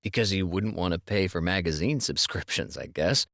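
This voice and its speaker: Actor as a low-voiced, sensitive con-man husband. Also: expressive, moderate pace, gravelly, a bit bright, dry recording, studio quality, heavily acted. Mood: scheming, matter-of-fact. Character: easygoing, sensitive husband, a con crew's honey trap. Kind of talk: full-cast audiobook dialogue acting.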